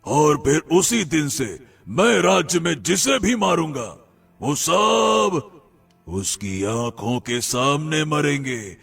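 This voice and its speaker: A man voicing a cruel, boastful demon in an animated moral story, taunting with drawn-out, emphatic menace. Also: fairly high pitch, gravelly, husky, faint music behind, heavily acted. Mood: cruel threatening.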